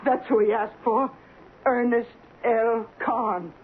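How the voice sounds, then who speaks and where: A woman's voice: fairly high pitch, steady tone, takes it slowly. An actress playing a nervous, evasive landlady who stammers and protests, in a radio drama. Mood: flustered, pleading. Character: frightened, flustered landlady, evasive witness to a murder. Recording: tinny, noisy old radio broadcast.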